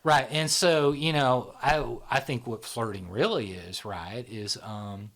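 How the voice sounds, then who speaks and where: An average-pitched middle-aged man talks in a loose, rambling way. He keeps checking in with his listener as he explains. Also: a little slow, fairly bright tone. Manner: rambling, fills with "right?" and "you know". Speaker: show founder and host. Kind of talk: casual explanatory conversation.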